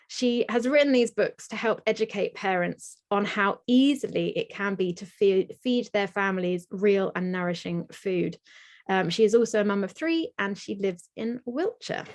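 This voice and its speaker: A woman's voice: medium pitch, moderate pace. A woman hosting a webinar, introducing her guest in an admiring way with fillers and repeated words. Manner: warm, admiring, with fillers and restarts. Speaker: webinar host.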